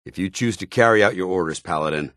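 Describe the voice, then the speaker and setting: An actor playing an earnest, honour-bound soldier paladin in a video game, with a firm voice and grave, formal, deliberate delivery. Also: male voice, low voice, a bit bright, no room sound. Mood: resolute. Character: earnest, honour-bound military paladin, a synthetic man troubled by his identity. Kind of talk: dramatic video game dialogue.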